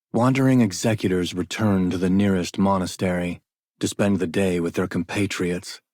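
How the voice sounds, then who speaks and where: A man's voice: low-pitched, darkish tone, breathy edge, no echo, clean studio sound. A man playing a solemn, coldly analytical executor in a video game, speaking formally and with detachment. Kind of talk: video game character voice acting.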